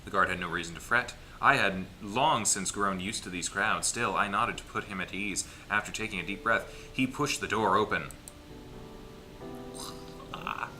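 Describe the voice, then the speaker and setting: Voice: low voice, normal speed, bright, forceful tone. A person reading a visual novel aloud as narrator in a casual group playthrough, playful and dramatic, with stumbles and wry asides. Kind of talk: casual gaming let's play, reading story text aloud with asides. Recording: music playing behind the voice.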